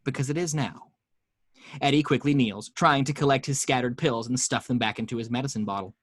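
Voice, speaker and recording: A man's voice: medium pitch, brisk, a bit bright, no echo, studio quality. A man narrating the action lines at a home table read, with chatty asides to the listeners.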